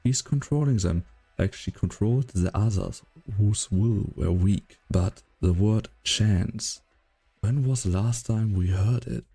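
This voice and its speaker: A man playing a grave, brooding storyteller, narrating a dramatic fan dub in a gravelly, husky voice. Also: lowish voice, a little slow, warm tone, soft background music, heavily acted.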